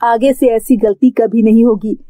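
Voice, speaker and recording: A humble cartoon maid and mother, played by a woman, with a high voice and dry sound. She speaks meekly and gently, like someone used to apologising. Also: steady tone, moderate pace, no room sound, lightly acted. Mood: apologetic.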